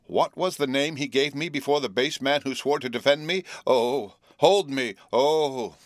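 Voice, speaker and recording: A man's voice: on the low side, moderate pace, dry recording, studio quality, close to an everyday voice. A man reading aloud and voicing a furious, shrieking middle-aged wife who works herself into a rage. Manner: screaming, theatrical rage.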